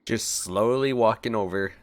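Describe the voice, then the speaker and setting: A man's voice: on the low side, normal speed, dry recording. A man giving casual let's play commentary as a streamer. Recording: faint game audio behind the voice. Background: video game sounds.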